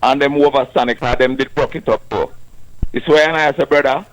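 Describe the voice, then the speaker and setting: A man with a Jamaican accent calling a radio show, chatting in an animated way in patois. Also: mid-range voice, normal speed, dull audio. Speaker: radio caller.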